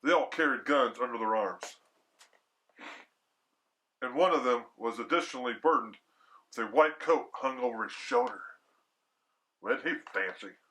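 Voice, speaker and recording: A man's voice: medium pitch, moderate pace, long pauses, fairly bright tone, slight background noise. A man reading a story aloud theatrically as a storyteller, with casual, slangy, chatty asides. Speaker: storyteller, horror show host.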